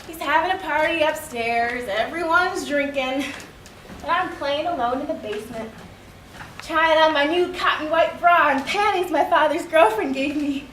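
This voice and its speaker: A woman's voice: sits high, moderate pace, fairly bright tone. A woman performing a theatrical monologue as a wounded, frank young girl, with a childlike, dramatic, emphatic delivery. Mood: frightened, distressed. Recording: echoey room, distant mic, faint noise.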